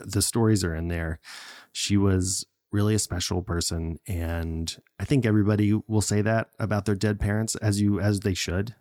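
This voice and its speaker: A man with a fairly low voice hosts a casual comedy podcast. He rambles, pokes fun at himself and laughs often. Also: a little mellow. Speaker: podcast host.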